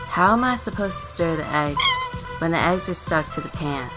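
A woman plays a teenage runaway girl in a life-simulation game story. The voice is low and dry, with a stubborn, sardonic edge. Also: expressive, normal speed, over loud music, low-quality recording. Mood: grumbling.

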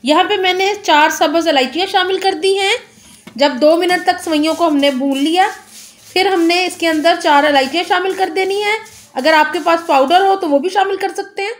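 Cooking host: a high-pitched woman with an Urdu accent, gentle and polite, giving steady step-by-step recipe narration. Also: normal speed.

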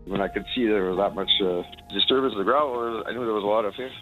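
A male fishing guide talks casually in a lowish voice. The audio sounds tinny and phone-like. Faint music sits behind him. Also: quick pace. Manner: casual, plain-spoken. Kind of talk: news interview clip.

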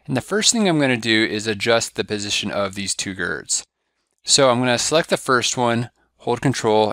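A man giving a step-by-step software tutorial over a screen recording, walking through each click in a steady, instructive way. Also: on the low side, no echo, clean studio sound. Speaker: software trainer.

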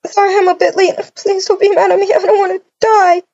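A woman voicing a terrified young woman held captive in an amateur roleplay, pleading in a panicked, fast, loud voice. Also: extremely high pitch, little pitch movement. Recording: dull lo-fi recording.

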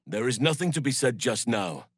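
A male actor playing a grave, earnest elf druid in a video game, speaking solemnly in measured, formal phrases. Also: mid-range voice, sing-song, husky, dry recording, clean studio sound. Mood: calm, measured. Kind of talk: scripted game voice lines.

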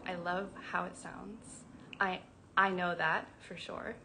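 Brief young woman, stutters, bright tone, unhurried, earnest livestream talk on phone at arm's length. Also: fairly low pitch, fairly even intonation, creaky, slight background noise. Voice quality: stutters with sound repetitions. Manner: earnest, affectionate, slow-paced. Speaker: program director. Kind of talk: casual livestream announcement. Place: indoors at home, small room. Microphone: phone camera at arm's length.

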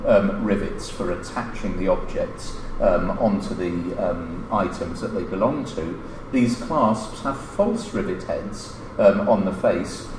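A man reading a formal academic conference paper aloud in a measured, scholarly way, pausing mid-phrase. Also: lowish voice. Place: indoor lecture hall, at a lectern. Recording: echoey lecture room, distant mic, background noise.

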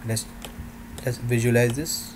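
Man, mid-pitched, little melody, halting non-native phrasing, software walkthrough, faint background noise. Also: flat delivery. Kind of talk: screen-recorded software demo narration.

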